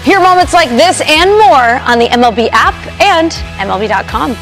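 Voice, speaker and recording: An American woman announcer reads a scripted radio promo. Her voice is high and swings in pitch with plenty of animation. Music plays clearly behind her. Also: animated, normal speed.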